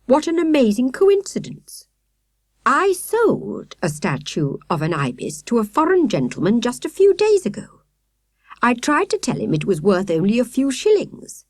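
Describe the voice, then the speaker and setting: A woman's voice: a little high, huge pitch swings, moderate pace, no room sound, studio quality, pitched above the performer's own voice. A woman voicing a flustered, well-meaning, apologetic British lady in a children's storybook, exclamatory and fretful. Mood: surprised, fluttery. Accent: British English.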